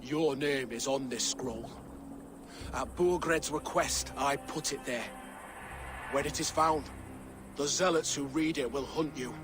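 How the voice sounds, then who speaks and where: An actor playing a proud, stubborn middle-aged warrior thane in a video game, speaking gruffly. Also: male voice, medium pitch, a little slow, pauses often, over music, close to an everyday voice. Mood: grateful urgent. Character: defiant older male warrior thane. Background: game score.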